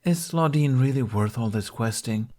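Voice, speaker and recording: A man's voice: medium pitch, normal speed, warm tone, pitched above the performer's own voice, natural voice. A man voicing a sarcastic, reluctant young man with dry, casual, grudging delivery in a story.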